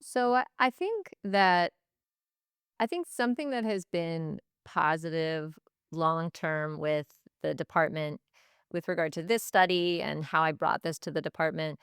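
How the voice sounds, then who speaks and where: A woman with a low voice that stays clear and resonant. She talks reflectively, like an educator being interviewed, working through her thoughts in repeated, restarted phrases. The sound is close and dry. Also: unhurried, a bit bright, no room sound. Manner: thoughtful, stop-and-start phrasing with repetition. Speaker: teacher and language department head, podcast guest.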